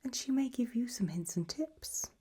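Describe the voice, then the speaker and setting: A woman playing an elegant, macabre gothic matriarch hosting in a soft, breathy ASMR whisper, genteel and darkly droll. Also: fairly high pitch, animated, moderate pace. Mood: gracious, darkly amused.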